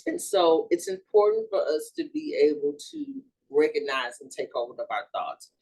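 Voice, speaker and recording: A woman in her thirties from Houston, a grief and trauma therapist, giving an earnest, measured presentation with small fillers and self-corrections. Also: sits low, big pitch swings, a little mellow, husky, low-quality recording. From Houston, Texas. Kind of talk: recorded educational presentation.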